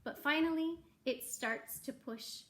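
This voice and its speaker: High-voiced woman reading a children's story. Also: unhurried. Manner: expressive, dramatic storytelling delivery for young children. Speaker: kindergarten teacher reading aloud. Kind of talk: children's picture book read-aloud.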